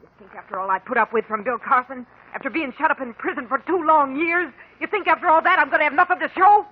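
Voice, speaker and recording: A woman's voice: sits high, quick pace, lightly acted. A woman playing a hard, greedy ex-convict mother with an American accent, tough and sneering, in a vintage radio drama. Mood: threatening. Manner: tough, sarcastic, slangy. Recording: tinny, narrow 1950 radio recording.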